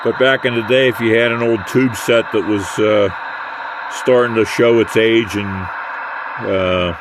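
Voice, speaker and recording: An older American man giving a casual hands-on equipment demonstration in a halting, unhurried way. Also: fairly low pitch, fairly even intonation, noisy background. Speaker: radio hobbyist demonstrating equipment.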